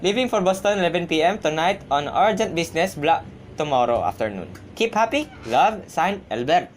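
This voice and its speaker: Low-voiced, formal telegram clerk played by a woman. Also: expressive, a little fast, slight background noise, natural voice. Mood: businesslike. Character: polite, businesslike telegram clerk reading messages. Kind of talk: amateur radio drama acting.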